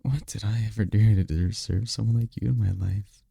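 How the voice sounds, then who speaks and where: A man playing a tender, teasing incubus demon boyfriend in an intimate ASMR roleplay, speaking in a breathy, soothing, soft-spoken way. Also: steady tone, normal speed, no echo, clean studio sound, heavily acted. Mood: affectionate, reassuring. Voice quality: breathy, gravelly low voice.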